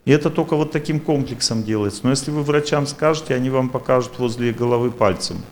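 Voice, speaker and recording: A man's voice: mid-range voice, fast, slight background noise. A man lecturing an audience and taking their questions, talking in a rambling, repetitive but self-assured way.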